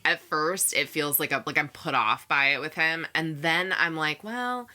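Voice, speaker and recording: An American woman in her thirties reacts to a show in relaxed conversation. She thinks aloud and keeps saying "like". Her voice sits low but sounds very bright and edgy. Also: normal speed. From United States. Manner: chatty, thinks aloud, heavy use of "like". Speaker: reaction video co-host. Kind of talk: casual reaction commentary.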